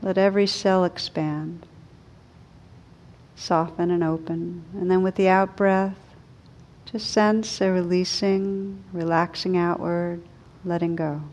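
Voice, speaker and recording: A woman meditation teacher leading a guided meditation in a gentle, unhurried, soothing way with long pauses. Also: fairly low pitch, level tone.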